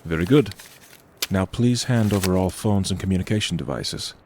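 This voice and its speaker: Casting: formal, foreboding agent of a haunted inn, low-pitched, measured delivery, horror audio drama, slight background noise. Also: male voice, lively intonation, darkish tone. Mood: brisk, officious. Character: grave, formal male representative of a haunted inn.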